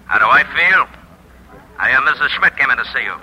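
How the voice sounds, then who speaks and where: An actor playing a cocky, menacing gangster boss with tough street talk in a 1950s radio drama. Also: male voice, mid-range voice, lively intonation, a little fast, sharp tone, breathy edge, exaggerated voice. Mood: sneering. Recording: dull vintage radio, telephone voice.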